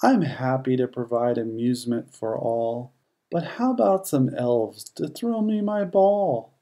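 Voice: mid-range voice, lively intonation, unhurried, mellow. A narrator voicing a good-natured, wry bulldog in a rhyming children's storybook. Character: good-natured bulldog reluctantly dressed as Santa.

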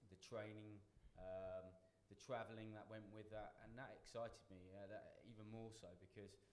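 A man's voice: lowish voice, little pitch movement, moderate pace. A man in his forties, a chef on a panel, talking earnestly to students with many restarts. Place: large function room with audience. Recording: echoey hall, faint room noise.

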